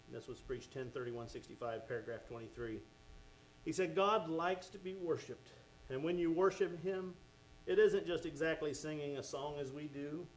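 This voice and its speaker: A man's voice: on the high side, slight background noise, low-quality recording. A man preaching a sermon, fervent and exclaiming "oh my" and "amen" as he goes.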